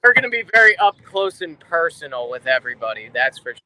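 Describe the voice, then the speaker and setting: A man's voice: fairly high pitch, sing-song, fast talker, pushed and bright, rough recording. A man from New Jersey, a drummer being interviewed, chatting casually. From New Jersey, United States. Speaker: guest, rock drummer. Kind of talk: casual chat.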